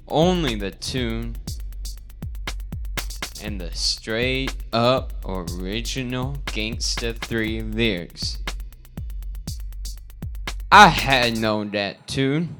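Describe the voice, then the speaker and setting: A man voicing an old male farm pig elder in a parody storybook, a solemn visionary with declamatory, drawn-out, slang-heavy speech. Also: medium pitch. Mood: nostalgic, halting. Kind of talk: parody storybook character reading.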